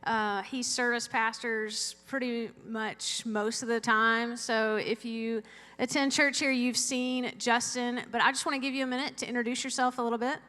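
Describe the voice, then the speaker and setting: Give me a fairly high, bright woman leading a church study session in a reverberant room. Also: steady tone, moderate pace. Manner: friendly interviewer, brief prompts. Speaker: women's Bible study leader. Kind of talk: hosting a church talk. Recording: long hall reverb.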